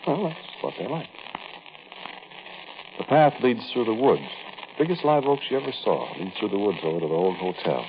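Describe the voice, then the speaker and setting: A man's voice: fairly low pitch, very animated, moderate pace, pauses often, a little hiss or hum. An actor playing a plain-spoken man recounting an eerie tale in a conversational, musing way, in old-time radio drama style on a muffled recording. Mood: musing, confiding. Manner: conversational, musing, speaks directly to the listener.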